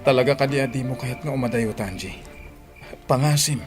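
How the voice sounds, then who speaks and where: An actor with an Ilocano accent playing an earnest, stubborn grown son in love in a radio drama, speaking insistently. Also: male voice, medium pitch, fairly quick, loud music behind the voice, close to an everyday voice. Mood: urgent, pleading.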